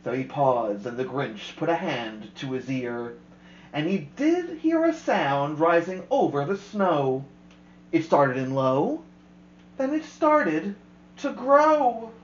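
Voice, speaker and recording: A woman host reading a children's rhyming picture book aloud with expressive, rhythmic delivery. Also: sits low, slow, reverberant, muffled recording, slight background noise. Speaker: storyteller host.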